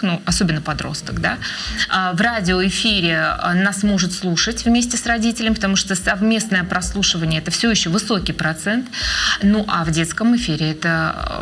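A woman being interviewed as a researcher of children's radio, speaking in a measured, thoughtful way with frequent hesitation fillers. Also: fairly low pitch, fairly bright tone. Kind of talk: interview answers.

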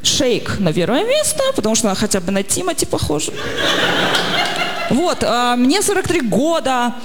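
A woman's voice: fairly high pitch, sing-song, normal speed. A woman in her forties doing a chatty, self-mocking stand-up comedy monologue. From Russia. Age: 40s. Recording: long hall reverb, audience noise.

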